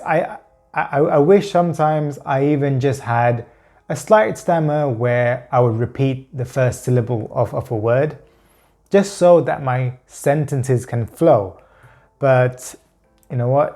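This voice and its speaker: A man with a stutter speaks slowly to his viewers in a mid-pitched voice, often stalling on a word and repeating small ones before pushing on. Soft music plays faintly under his talk. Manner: speaks with frequent stuttering blocks and repeated words; slow, halting delivery with long pauses. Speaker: vlogger talking about his stutter. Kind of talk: personal talk to camera.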